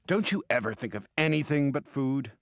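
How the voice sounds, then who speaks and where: A man hosting and narrating a radio ghost-story show with dramatic, hushed storytelling. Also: medium pitch, animated, normal speed, no echo, clean studio sound. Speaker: narrator and host.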